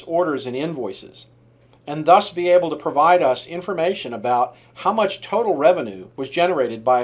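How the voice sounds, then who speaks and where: A man giving scripted instructional narration as a software trainer, in a measured, explanatory way that sets out lists item by item. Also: fairly high pitch.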